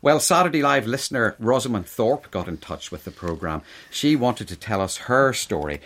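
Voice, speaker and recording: Male radio presenter, lowish voice, wry and chatty. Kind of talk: scripted radio presentation and interviewing.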